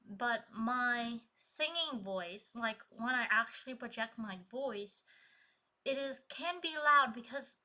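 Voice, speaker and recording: Woman streamer, high-pitched, unhurried, chatty with frequent laughs, trained voice that can project. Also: expressive, sharp tone. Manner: chatty, laughs often, reads chat aloud. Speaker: live streamer and poet. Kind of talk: casual live-stream chat with viewers. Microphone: streaming desk mic.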